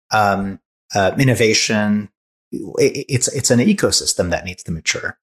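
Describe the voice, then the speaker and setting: A man in his forties from Hungary, a startup founder answering interview questions thoughtfully, with many restarts and fillers. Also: on the low side.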